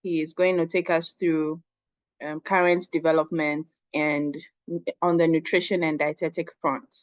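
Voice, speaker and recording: A woman from Ghana hosting an online professional seminar, making announcements in a measured way over a call. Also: low voice, little pitch movement, dry recording. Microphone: online call audio. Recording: dull, dry call audio.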